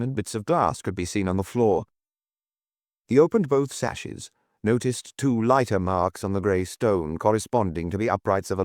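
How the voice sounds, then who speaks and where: A man's voice: on the low side, a little mellow, dry recording, studio quality. A man reading scripted detective fiction as an audiobook narrator.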